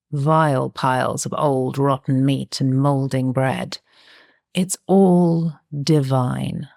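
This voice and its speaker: A woman voicing a contented, naive scavenging monster who narrates a horror audio story in a measured, expressive way. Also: rumbling low, gravelly, no room sound, studio quality, full character voice. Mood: relishing. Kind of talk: scripted first-person horror audio story.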